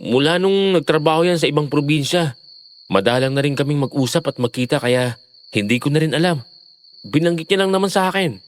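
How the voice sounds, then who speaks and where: A man's voice: a little high, a little fast, long reverb tail, slight background noise, lightly acted. A man playing an easygoing, respectful young boyfriend in an audio drama. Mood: casual, helpful. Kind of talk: Filipino audio horror drama acting.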